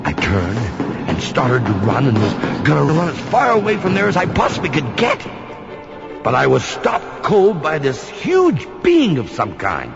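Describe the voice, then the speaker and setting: An actor playing a haunted, melodramatic man who tells a ghoulish horror tale with theatrical pauses and repetitions. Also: a little high, huge pitch swings, gravelly, exaggerated voice. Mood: terrified, frantic. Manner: theatrical, halting repetitions for suspense. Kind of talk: dramatic horror storytelling. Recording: dull recording under loud music.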